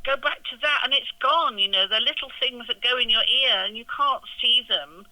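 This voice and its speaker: Older woman, loud voice, very bright and sharp tone, gushing interview guest. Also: fairly high pitch, moderate pace, low-quality recording. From England. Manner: effusive and gushing, exclaims often. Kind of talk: casual interview chat.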